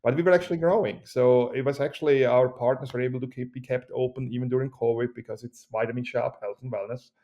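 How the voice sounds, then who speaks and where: A man with a Swiss accent, a company CEO speaking as a guest in a podcast interview, with an energetic, joking pitchman delivery. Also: fast, few pauses, highs cut off. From Switzerland.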